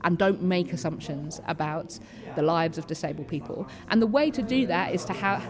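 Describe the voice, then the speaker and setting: A woman with a lowish voice answers in a conversational interview. She is prone to vocal tics that drop in stray words, and a busy crowd chatters clearly behind her. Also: moderate pace. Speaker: panellist and theatre-maker being interviewed.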